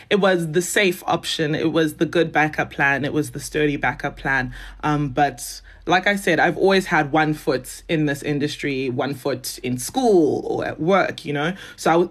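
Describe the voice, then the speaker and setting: A woman in her twenties, chatting casually as an interview guest and laughing often. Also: sits low. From South Africa. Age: 20s. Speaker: guest, beauty content creator.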